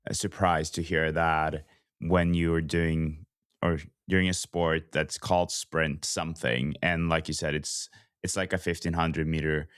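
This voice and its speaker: A male podcast host with a very deep, resonant voice, captured dry and close. He chats his way toward each question, repeating first words and circling before he gets there. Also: fairly bright tone, smooth, no room sound. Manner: stammering starts, rambling lead-ins to questions. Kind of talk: conversational interviewing.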